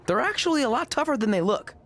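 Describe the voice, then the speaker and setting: A young male wrestling hopeful in a video game story. His pitch swings widely as he talks. He comes across as awkward, sarcastic and quick to put himself down. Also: big pitch swings, a bit bright, heavily acted. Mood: grudging. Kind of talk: scripted game cutscene acting.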